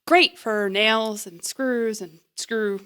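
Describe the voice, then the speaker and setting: Flustered, high-pitched eager young woman. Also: slow, a bit bright, smooth. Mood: rambling, nervous. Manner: flustered, rambling, hesitant. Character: nervous, eager young woman bluffing through job interview. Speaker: actor in an audio drama. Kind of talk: naturalistic audio drama acting.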